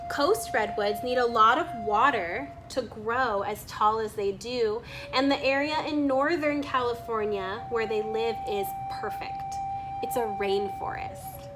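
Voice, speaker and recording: Lady doing a read-aloud, slightly high voice, really unhurried, music playing behind her. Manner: pauses to remark on the illustrations. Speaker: picture book reader. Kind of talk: read-aloud with spontaneous comments on pictures.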